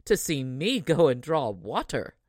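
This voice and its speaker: A woman's voice: low voice, big pitch swings, unhurried, darkish tone, no room sound, studio quality, voice pushed down, heavily acted. A woman voicing a proud, rude young woman from a fairy tale who answers with sarcasm. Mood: sneering. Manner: sneering, sarcastic.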